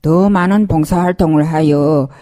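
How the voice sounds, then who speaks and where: A woman, a guest on a radio interview, making a formal statement in deliberate phrases. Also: deep voice, mellow. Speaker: volunteer group member, interview guest.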